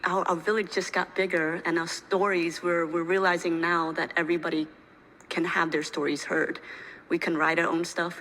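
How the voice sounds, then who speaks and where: A woman's voice: low-pitched, vocal fry, a bit bright, slight background noise, low-quality recording. A woman giving casual, conversational interview answers as a filmmaker, restarting phrases and often saying "like".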